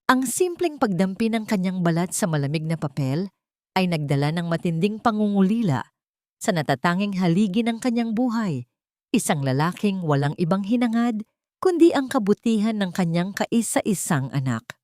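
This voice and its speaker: A woman's voice: medium pitch, lively intonation, normal speed, warm tone, no room sound, clean studio sound. A woman reading scripted audio drama narration as a storyteller, in an earnest, emotive manner.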